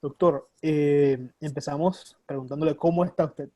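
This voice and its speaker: A man's voice: a little high, fairly even intonation, normal speed, dark tone, no echo. A man hosting an interview, courteously asking his guest questions and addressing him as doctor. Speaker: interview host.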